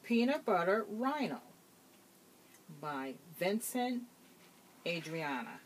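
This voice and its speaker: A middle-aged woman reads a picture book aloud for children. She takes her time with each phrase, deliberate and expressive, and her pitch moves around freely. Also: husky. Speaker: teacher reading a storybook. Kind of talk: children's storybook read-aloud. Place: small bedroom indoors.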